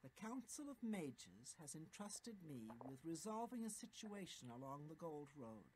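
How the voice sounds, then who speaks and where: A woman's voice: low voice, very animated, unhurried, a little mellow, slight background noise, rough recording. A woman voicing a stern female mage guild official in a video game, speaking in a formal, commanding way. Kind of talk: video game character dialogue.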